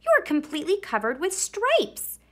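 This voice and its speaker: A woman's voice: extremely high pitch, wildly swooping pitch, measured pace, a bit bright, pitched above the performer's own voice, exaggerated voice. A woman voicing an alarmed, bossy mother in a children's storybook, dramatic and commanding. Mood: shocked.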